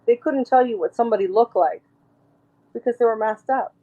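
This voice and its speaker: A woman with a raspy voice, talking emphatically as an interview guest, rambling and restarting her sentences. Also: fairly high pitch, fairly quick, narrow, tinny audio. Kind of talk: casual interview talk.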